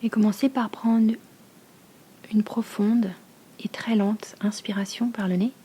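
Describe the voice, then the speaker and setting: Soft, breathy woman guiding meditation. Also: mid-range voice, fairly even intonation. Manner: slow, hushed, soothing instruction with long pauses. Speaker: yoga nidra teacher. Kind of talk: guided meditation.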